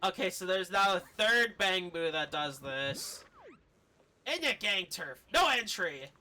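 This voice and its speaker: A woman streaming a game, giving expressive, casual commentary and reading dialogue aloud, sometimes swearing. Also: on the low side, a little slow, a little hiss or hum. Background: faint video game music.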